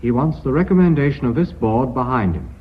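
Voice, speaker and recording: An actor playing a cautious, principled company director in a radio drama, formal, clipped and grave. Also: male voice, mid-range voice, lively intonation, a little fast, slight background noise, lightly acted. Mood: firm, sober. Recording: dull, muffled radio recording.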